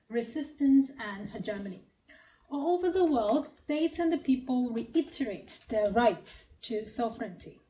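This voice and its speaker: Hong Kong-accented woman academic, soft and hesitant, higher voice, dull lecture-room recording. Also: a little hiss or hum. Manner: soft-spoken, hesitant with fillers. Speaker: academic speaker.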